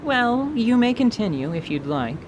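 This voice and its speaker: Raised-pitch, wry warrior woman acted by a man. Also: big pitch swings, normal speed, noisy background. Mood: commanding. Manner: commanding, dryly teasing. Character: wry, confident warrior woman leading adventurers. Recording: rumbling background ambience.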